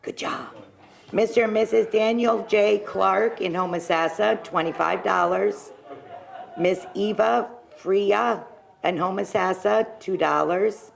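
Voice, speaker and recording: Gravelly-voiced woman reading donor names. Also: mid-range voice, unhurried. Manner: bubbly and enthusiastic, stumbles and apologizes over hard names. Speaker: local business owner donating to a telethon. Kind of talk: casual chat, reading donor names aloud. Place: television telethon studio. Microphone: handheld microphone.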